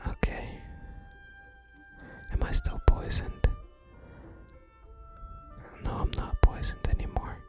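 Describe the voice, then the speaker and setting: An ASMR gaming host speaking entirely in a soft, breathy whisper, narrating play and reading game dialogue aloud. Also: takes it slowly, long pauses. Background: game music.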